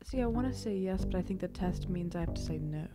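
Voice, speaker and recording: A woman's voice: on the low side, animated, fast. A woman chatting casually as a player at a tabletop game, with a playful, joking manner. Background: music.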